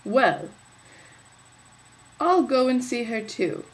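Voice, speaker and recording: A woman voicing a big, wicked male wolf who is sly and hungry, talking loud and drawn-out in a told fairy tale. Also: on the high side, big pitch swings, pitched above the performer's own voice, low-quality recording.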